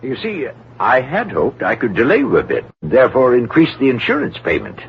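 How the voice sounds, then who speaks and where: An actor playing a prissy, excitable rich gentleman, flattering and over-polite, in an old-time radio drama. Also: medium pitch, brisk. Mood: fussy, flattering. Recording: dull, hissy old radio recording.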